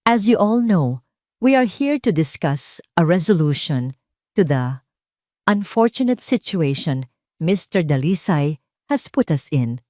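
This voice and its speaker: A woman's voice: lowish voice, very animated, slow pace, warm tone, no echo. Accusing Filipino lawyer read by a woman, formal, weighty emphasis, dry audio drama sound. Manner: stresses every word, formal. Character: stern accusing corporate lawyer.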